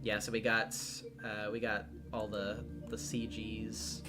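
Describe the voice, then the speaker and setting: A man hosting a casual livestream, talking in a halting way and often trailing off mid-thought. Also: lowish voice, completely flat delivery. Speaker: streamer and voice actor hosting a visual novel readthrough. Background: background music.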